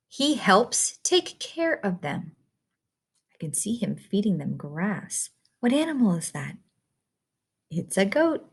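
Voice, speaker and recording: A woman reading a children's picture book aloud in a simple, deliberate, instructive way, putting questions to the listener. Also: mid-range voice, gravelly, slightly rough.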